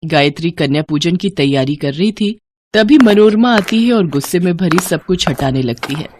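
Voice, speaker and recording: A woman's voice: fairly low pitch, expressive, normal speed, a little hiss or hum. A woman narrating a scripted story as its storyteller.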